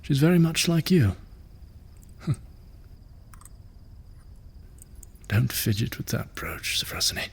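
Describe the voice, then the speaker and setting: A man playing a gentle middle-aged ruler and father in an audio drama, measured, tender and soft-spoken. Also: a little high, lively intonation, vocal fry, breathy edge, heavily acted. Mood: fond, teasing. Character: gentle fatherly ruler, weary, reassuring widower.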